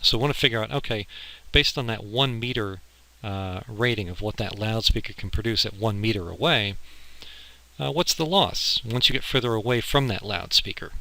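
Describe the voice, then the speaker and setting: A man with a lowish, very bright and tense-sounding voice delivers a slide lecture. He explains things informally, one step at a time, as an instructor would to students. The amateur recording has a harsh edge. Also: normal speed, gravelly, rough recording. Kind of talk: slide lecture on audio engineering.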